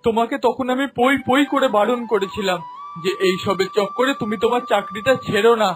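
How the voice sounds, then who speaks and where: A man voicing an earnest, anxious husband in a cartoon fairy tale, pleading and moralizing with emphasis. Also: very high-pitched.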